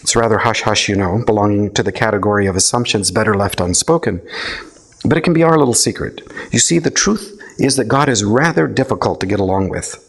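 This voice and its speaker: A man reading a story aloud and voicing ego, a theatrical, scheming male spirit who flatters and stalls. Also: on the low side, quick pace, gravelly, hardly any pauses. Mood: sly. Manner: oily, stalling, wheedling.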